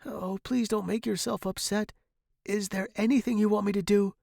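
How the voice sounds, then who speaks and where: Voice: slow, a little mellow, dry recording, clean studio sound. A storyteller voicing a devoted young male music student, earnest, emotional and imploring. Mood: tender, worried. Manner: earnest, imploring, high and distraught.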